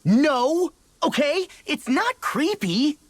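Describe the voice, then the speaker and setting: A man's voice: extremely high pitch, sing-song, slow pace, no echo, exaggerated voice. An actor playing a brash, hot-headed young male fighter in a video game, with an American accent and cocky, slangy delivery. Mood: flustered. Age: young adult. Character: brash, hot-headed young male brawler, son following father's footsteps. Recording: studio voice over game music.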